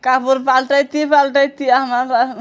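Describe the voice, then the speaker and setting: Someone putting on a high-pitched, plaintive old village granny. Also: level tone, fairly quick, smooth, natural voice. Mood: plaintive complaining. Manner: rustic, plaintive, rambling and repetitive. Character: frail, complaining old village grandmother, naive and haggling. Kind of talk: prank phone call. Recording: noisy prank phone call recording.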